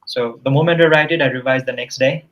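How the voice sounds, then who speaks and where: A man whose first language is Nepali speaks English as a guest tutor. His voice sits at a middling pitch. He explains his learning habits earnestly and conversationally, often pausing to check in with the listener. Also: steady tone, dull audio. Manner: earnest, explanatory, with frequent "you know" and "right?". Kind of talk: casual conversational interview.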